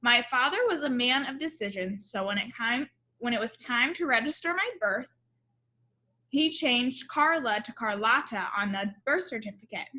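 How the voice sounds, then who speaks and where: A woman with a bright, fairly high voice reads a picture book aloud like a teacher. She is instructive, stepping out of the text to question her young listeners and point things out. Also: lively intonation, moderate pace. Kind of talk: storybook read-aloud with asides and questions to children.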